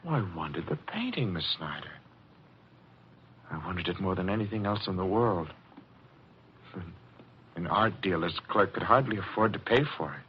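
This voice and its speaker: An actor playing a deranged art dealer's clerk, a polite and obsessive killer, in 1940s radio suspense style. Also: male voice, low voice, sing-song, normal speed, long pauses. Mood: calm madness. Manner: polite menace, theatrical. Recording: muffled, noisy old radio recording.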